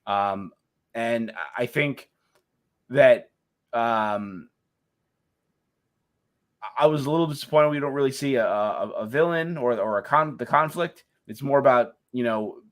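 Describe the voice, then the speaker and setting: Middle-aged man, halting casual talk. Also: mid-range voice. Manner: halting, many restarts and fillers, laughs easily. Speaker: co-host. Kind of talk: casual chat.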